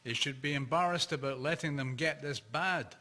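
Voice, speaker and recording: A man's voice: a little high, normal speed, sharp tone. A man playing a folksy, hearty old-time blacksmith, exclamatory, in a radio drama. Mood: wry, obliging.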